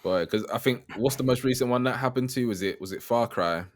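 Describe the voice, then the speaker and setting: A man's voice: mid-range voice, a little fast. A man in a casual video chat about games, mostly asking short questions and backing others up. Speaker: podcast co-host.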